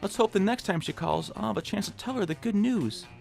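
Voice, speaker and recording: A man's voice: high-pitched, lively intonation, brisk, creaky, darkish tone, faint music behind. A man in an audio drama playing a timid, eager, put-upon clerk, hesitant and a little whiny. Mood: defensive.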